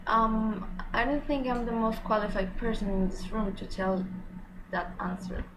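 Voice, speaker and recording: A woman's voice: fairly high pitch, moderate pace, natural voice. Teenage cancer patient, amateur drama young woman acting: candid, weary, casual youthful delivery over noisy recording. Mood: hesitant, hopeful. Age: teenager or young adult, high school student. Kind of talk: amateur student book dramatization. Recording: amateur recording with background noise.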